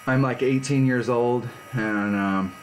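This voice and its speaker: A man's voice: mid-range voice, slow pace, a little hiss or hum, low-quality recording. A man in his fifties chatting casually and rambling on a live stream from his garage. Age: 50s. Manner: rambling, laid-back, self-deprecating. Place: home garage.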